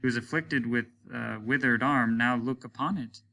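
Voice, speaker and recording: A serene small-town mayor from a storybook, read by a man. He speaks simply and without hurry, in a bright, medium-pitched voice. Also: normal speed, vocal fry. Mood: calm. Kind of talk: dramatic audiobook reading.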